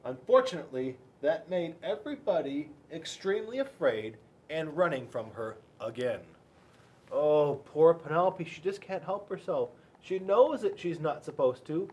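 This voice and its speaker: A man reading a storybook aloud to children in an expressive, chatty way, addressing his young listeners directly. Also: fairly high pitch, unhurried. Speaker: police school resource officer reading to children.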